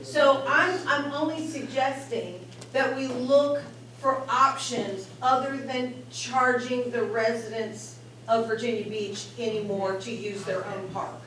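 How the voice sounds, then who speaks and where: A woman's voice: on the high side, steady tone, a little slow, fairly bright tone, a little hiss or hum. A woman resident making an exasperated, emphatic public comment at a meeting. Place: public meeting room, indoors. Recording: echoey hall from far off, crowd around.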